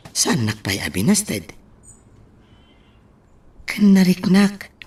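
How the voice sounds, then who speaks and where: Hopeful lovestruck young man, male actor, radio romance, animated intonation, slightly distant with some noise. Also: mid-range voice. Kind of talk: radio drama acting.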